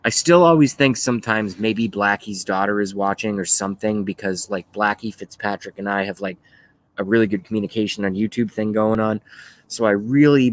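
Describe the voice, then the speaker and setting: A man of thirty-five hosting a livestream, talking casually and haltingly as he rants and reads out chat messages, with some swearing. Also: lowish voice, poor audio. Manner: rambling, halting, often swearing. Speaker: livestream host. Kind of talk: casual political rant while reading live chat.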